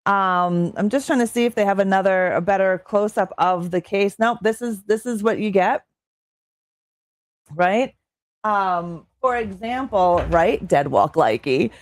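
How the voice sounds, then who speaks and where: Streamer: young woman from Canada, excitable and chatty with lots of fillers, voice a bit strained, dry close sound. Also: mid-range voice, measured pace, no echo. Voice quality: slightly strained voice. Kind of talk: casual live-stream chat reading chat.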